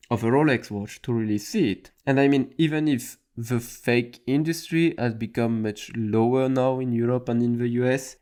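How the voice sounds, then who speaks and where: A man's voice: medium pitch, a little mellow. Chatty man musing on tech news. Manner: loose, conversational, frequent "I mean" and restarts. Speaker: host. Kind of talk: casual tech news commentary.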